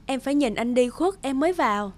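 A high-voiced, tender young wife. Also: female voice, lively intonation, quick pace. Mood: concerned. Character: devoted, caring, trusting young wife. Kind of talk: animated drama dubbing. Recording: music under the voice.

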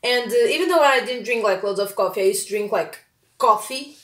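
A woman's voice: a little high, a little fast, a bit bright, reverberant. A young woman from Portugal with a Portuguese accent, chatting casually to camera at home, with lots of fillers and restarts. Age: young adult. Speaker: vlogger. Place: small bright room at home, indoors. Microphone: camera at a few feet.

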